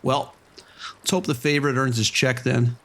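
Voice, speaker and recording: An easygoing male casino boss, played by an actor in a radio drama. His voice sits at a medium pitch. He is watchful and supportive, speaking casually and in a measured way. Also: fairly even intonation, fairly bright tone, close to an everyday voice. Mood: steady, practical. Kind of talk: scripted horror audio drama radio exchange.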